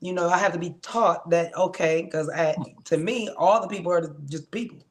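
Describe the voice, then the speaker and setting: A woman's voice: fairly low pitch, normal speed. A woman co-hosting a podcast, chatting casually and giving frank opinions. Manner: relaxed, conversational, frank opinions. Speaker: podcast co-host recapping reality TV. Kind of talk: casual chat.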